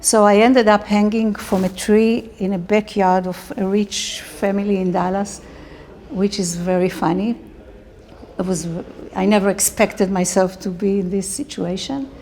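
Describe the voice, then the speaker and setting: Woman, middle-aged, Israeli accent, mid-pitched voice, casual interview storytelling, faint ambient noise behind. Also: steady tone, measured pace, darkish tone.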